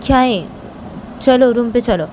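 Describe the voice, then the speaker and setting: A woman's voice: on the high side, a little slow, background noise, pitched above the performer's own voice, natural voice. A woman voicing a placating, exasperated young woman in a story, coaxing and repeating herself.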